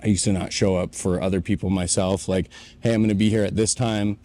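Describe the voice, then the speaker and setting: A man's voice: low voice, flat delivery, brisk, warm tone, slight background noise. A man in his late twenties, co-hosting a podcast in a warehouse, telling his own story in an earnest, reflective way. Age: 20s. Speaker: podcast co-host. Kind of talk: casual chat.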